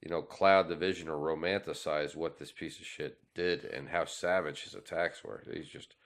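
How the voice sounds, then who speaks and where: Very deep-voiced man, blunt podcast co-host. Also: fairly even intonation, moderate pace, a bit bright. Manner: blunt, swears casually. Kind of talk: casual opinionated conversation.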